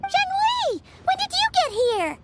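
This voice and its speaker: A woman voicing a tiny, excitable, curious childlike fairy companion in a video game, with a squeaky voice. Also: a little slow, heavy background noise, exaggerated voice. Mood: surprised, concerned.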